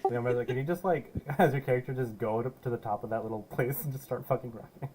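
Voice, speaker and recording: A man's voice: medium pitch, fast, crowd noise. A man in casual voice chat during a game session, laid-back and joking. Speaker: player.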